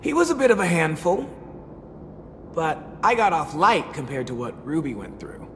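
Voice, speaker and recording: A man's voice: fairly high pitch, big pitch swings, normal speed, big-room sound, slight background noise. An actor playing an easygoing, joking teenage boy in a video game, playful, theatrical and teasing. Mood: wry.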